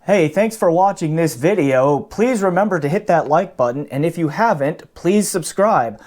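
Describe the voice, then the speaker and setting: A man's voice: a little high, expressive, moderate pace, a little mellow. A man hosting an audio gear review in a casual, conversational, loosely explanatory way. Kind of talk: casual product review to camera.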